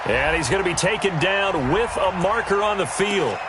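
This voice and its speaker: A man with an American accent playing a steady play-by-play announcer in a football video game. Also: high voice, moderate pace, a loud crowd. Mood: steady, matter-of-fact. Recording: loud stadium noise behind.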